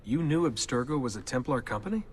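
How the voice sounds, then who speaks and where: An actor playing a laid-back young assassin recruit in a video game, plain-spoken and questioning in a casual way. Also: male voice, medium pitch, expressive, fast, slight background noise, lightly acted. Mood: curious. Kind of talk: game cutscene dialogue. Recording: music under the dialogue. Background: music.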